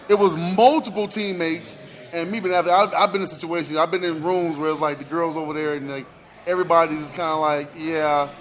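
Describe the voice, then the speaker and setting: A man's voice: on the high side, a bit bright. An American man co-hosting a sports radio show, talking in casual slang and repeating words and phrases for emphasis.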